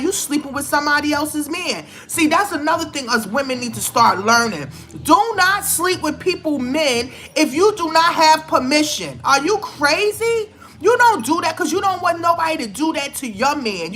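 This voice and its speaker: A woman's voice: fairly high pitch, pushed and bright. A woman giving blunt, scolding celebrity gossip commentary in a vlog, with emphatic outbursts. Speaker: vlogger.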